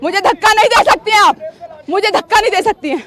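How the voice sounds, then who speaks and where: A woman's voice: extremely high pitch, quick pace, a bit bright. A woman reporting live from the field as a confrontational TV journalist, agitated and impassioned, insistently repeating her demands and questions with emphasis. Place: outdoors on a blocked road.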